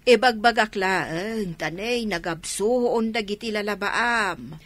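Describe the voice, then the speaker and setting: A woman's voice: medium pitch, slow, fairly bright tone. An actress playing an anxious, nagging middle-aged mother in a radio drama, fretful and complaining. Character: anxious, nagging mother worn down by her children.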